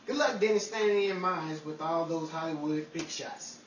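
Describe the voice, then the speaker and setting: A man's voice: a little high, moderate pace. A man hosting an old-time radio-style show, delivering scripted sign-offs. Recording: roomy, distant mic, faint noise.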